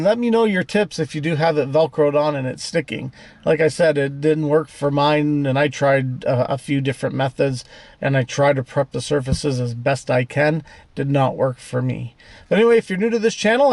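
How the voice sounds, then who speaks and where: A man with a slightly high voice hosts a casual vehicle vlog. He talks in a plainspoken, conversational way, as if walking a viewer through a piece of gear. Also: darkish tone, dry recording. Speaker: host of an off-road vehicle channel. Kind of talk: casual product demo vlog.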